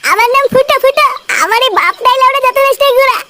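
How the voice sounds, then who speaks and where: Put-upon cartoon layabout lad: very high pitched-up voice, rapid swearing and grumbling, noisy track. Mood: drunken defiance. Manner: ranting, swearing, aggrieved. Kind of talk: Bengali comedy cartoon dubbing.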